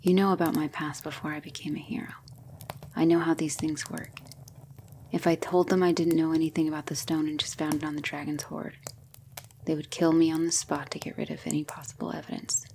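A woman performs as a battle-hardened female knight devoted to a lover, in an intimate ASMR roleplay. The voice sits a little low and is breathy, with plenty of creak. The delivery is hushed and emotional, over faint background noise. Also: flat delivery, a little fast. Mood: confiding, sober.

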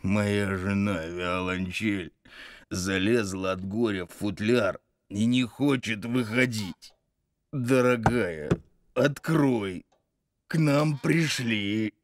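A man voicing an aggrieved, fussy double bass husband in a cartoon, with a theatrical, drawn-out, grumbling delivery. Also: no room sound, clean studio sound. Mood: pleading. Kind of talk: animated cartoon voice acting.

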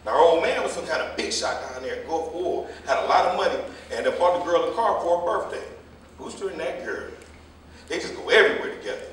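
A man's voice: a little high, expressive, quick pace, fairly bright tone. A man playing a gossipy, combative older jitney driver in a stage play reading, telling stories in a folksy, rambling, colloquial way. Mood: storytelling. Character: gossipy, combative old male jitney driver, scolding the young. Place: theater or hall staged reading, far mic. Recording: echoey hall, distant mic, faint noise.

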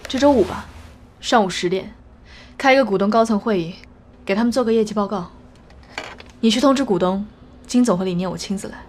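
A woman's voice: medium pitch, a little fast, pauses often, a little mellow, slight background noise, natural voice. A woman playing a shrewd, businesslike company executive in an audio drama, composed and matter-of-fact. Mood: cool, resentful. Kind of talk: Chinese audio drama acting.

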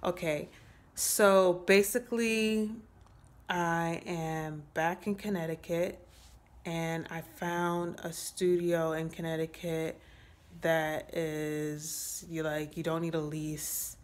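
Slow, low-voiced young woman vlogging. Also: fairly even intonation. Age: 20s. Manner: rambling, reflective, many fillers and pauses. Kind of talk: casual update vlog to camera. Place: small room indoors. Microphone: phone held close at arm's length.

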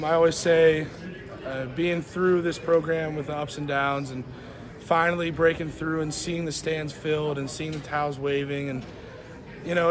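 Young man, earnest postgame answers, chatter behind. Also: a little high. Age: young adult. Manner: earnest, grateful, halting with fillers. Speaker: college football player. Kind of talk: postgame media scrum. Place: crowded weight room indoors.

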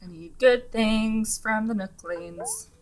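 A young woman hosting a relaxed gaming video, talking through her play in a chatty, giggly way with lots of fillers, her voice a little scratchy from the early morning. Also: a little high, slow pace. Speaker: gaming channel host. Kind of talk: casual let's-play commentary. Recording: game music underneath the voice.

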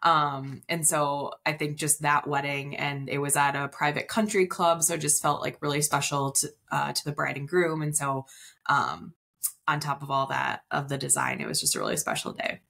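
A woman's voice: sits low, moderate pace, vocal fry, bright, forceful tone. A woman, chatting and explaining as a guest in a casual podcast interview. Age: 30s. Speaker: interview guest, wedding planner. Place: indoor room on video call.

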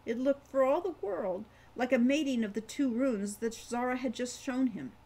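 A woman in her sixties reading fantasy fiction as its author, with deliberate, dramatic phrasing. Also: fairly high pitch, dark tone, slightly rough, low-quality recording. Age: 60s. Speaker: author reading own novel. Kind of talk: audiobook-style fantasy narration. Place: home room with kitchen behind.

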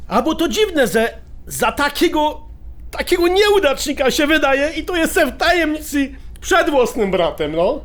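Blustering older highlander brother, high-pitched. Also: male voice, sing-song, a little slow, a bit bright, heavily acted. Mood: aggrieved. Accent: Polish highlander (Goral). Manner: indignant, blustering, exclaiming in rural dialect. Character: hot-tempered, stubborn older brother, an aggrieved Polish emigrant. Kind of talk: audio drama acting.

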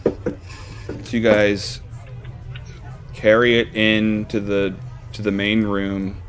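A man narrating and running a tabletop roleplaying game, relaxed and often laughing with his players. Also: fairly low pitch, very slow. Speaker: game master.

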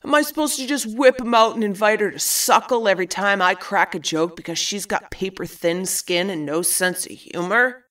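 A woman's voice: medium pitch, moderate pace, hardly any pauses, fairly bright tone, far from the mic, natural voice. A woman playing a crass, dismissive older garage boss with a sarcastic manner in an audio story. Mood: sardonic, irritated. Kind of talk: audio fiction dialogue.

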